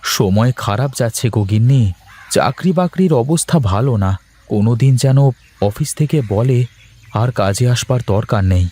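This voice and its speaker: A man's voice: medium pitch, expressive, normal speed, warm tone, natural voice. A man voicing a weary, worried but proud working husband in a cartoon, with a homely, complaining delivery. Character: weary, worried married office worker, proud and plain-spoken. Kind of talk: Bengali cartoon voice acting.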